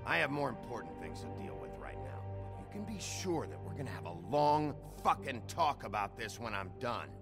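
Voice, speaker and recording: A man playing a blunt, world-weary American sheriff in a noir video game, gruff and terse, with music behind. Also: mid-range voice, sing-song, moderate pace, few pauses. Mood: confrontational. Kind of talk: video game character acting.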